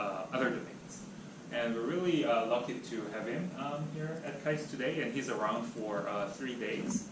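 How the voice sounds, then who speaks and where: A man acting as seminar host, introducing the guest speaker in a hesitant way, full of fillers, in a lecture room. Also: mid-range voice. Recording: echoey room, distant mic, loud noise.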